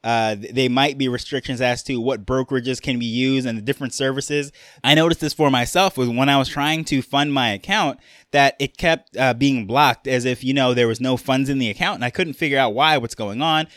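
A man's voice: mid-range voice, a little fast, fairly bright tone, dry recording. Chatty New York-accented man, dry sound. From Jamaica, raised in New York. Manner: conversational, rambling with fillers and restarts. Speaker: podcast host. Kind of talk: casual solo podcast monologue.

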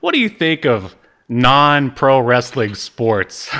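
A man's voice: medium pitch, big pitch swings, slow, sharp tone. A man co-hosting a casual game review show, joking, poking fun at himself and wandering off on tangents. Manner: jokey, self-deprecating, digressive. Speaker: podcast co-host.

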